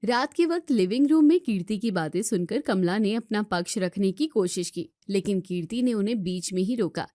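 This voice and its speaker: Mellow woman narrating a story. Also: medium pitch, expressive, moderate pace, hardly any pauses, no room sound, clean studio sound.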